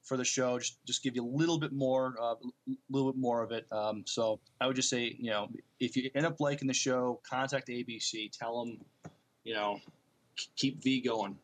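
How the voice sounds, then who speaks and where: Mid-pitched man reading podcast news. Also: steady tone, moderate pace. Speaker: podcast co-host. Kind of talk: reading and discussing entertainment news.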